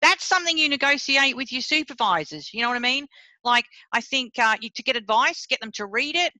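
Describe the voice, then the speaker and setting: A woman's voice: high-pitched, expressive, fairly quick, bright, forceful tone, dry recording, rough recording. A middle-aged woman professor from Sri Lanka, leading a seminar over a video call in a blunt, chatty way that speaks straight to her listeners. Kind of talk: informal academic talk on a video call.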